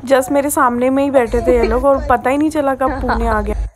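A woman's voice: high-pitched, fairly even intonation, normal speed, a crowd in the background. A young woman in her twenties talking casually to her phone camera as a travel vlogger, tired and sleepy. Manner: chatty, tired, mixes in English words. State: exhausted and sleepy. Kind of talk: casual travel vlog narration. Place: roadside by a car, outdoors. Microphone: phone selfie at arm's length.

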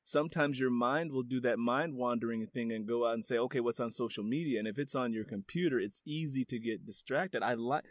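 A man's voice: medium pitch, a little fast, few pauses, no room sound, studio quality. A man hosting an interview, encouraging his guest with short affirmations in casual chat. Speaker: podcast host.

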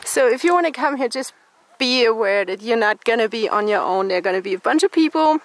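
A woman's voice: fairly high pitch, animated, fast talker, rough recording. A woman in her thirties, chatting upbeat to a handheld camera on an outdoor mountain trail. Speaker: travel vlogger. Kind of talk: casual travel vlog. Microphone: camera at arm's length. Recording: music playing loud underneath.

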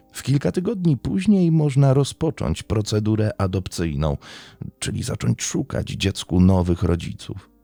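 Low, gravelly man narrating true crime. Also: dark tone, dry recording.

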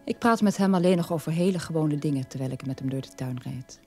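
An actor playing a middle-aged, estranged son in a radio drama, telling his story in a measured way. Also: fairly high pitch, mellow, soft background music, natural voice. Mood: resigned, estranged.

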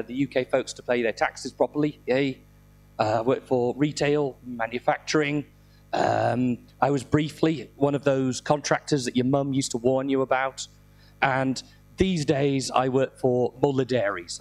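A middle-aged man with a slightly croaky voice from a throat infection, giving an informal, self-deprecating technical talk with dry humour into a handheld microphone. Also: medium pitch. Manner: self-deprecating, dryly humorous. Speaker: conference speaker. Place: indoor conference room, speaking on stage.

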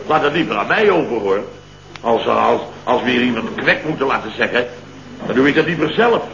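A man's voice: medium pitch, expressive, brisk, gravelly. An actor playing an exasperated, easily flustered office boss in a radio comedy from around 1970, sputtering and repeating his words. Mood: indignant. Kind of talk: 1970s Dutch radio comedy acting. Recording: dull, noisy, roomy old radio recording.

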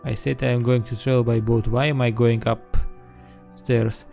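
Guy with some vocal fry talking to himself while roleplaying a video game, kinda halting, music behind. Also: mid-range voice, little pitch movement, mellow. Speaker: gamer doing a roleplay playthrough. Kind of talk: in-character gameplay commentary.

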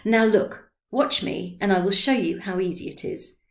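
A woman voicing an excitable, drunken old man who talks eagerly and loudly in a read-aloud story. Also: normal speed, lightly acted. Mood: excited. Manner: eager, pleading, high and loud.

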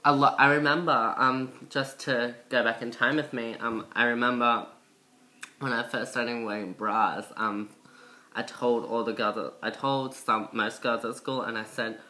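A young woman with a low, masculine-sounding voice and a slight cold, chatting casually to camera in a rambling, self-conscious way. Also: steady tone, measured pace, sharp tone. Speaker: vlogger. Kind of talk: casual chat to camera, transition update. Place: indoor room by a window. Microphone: built-in device mic at arm's length.

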